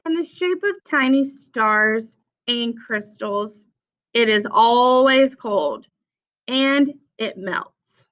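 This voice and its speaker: A young adult woman reading a children's picture book aloud with simple, deliberate phrasing for young listeners. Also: fairly high pitch, animated, a bit bright. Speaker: read-aloud presenter for children. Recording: dull, lo-fi sound.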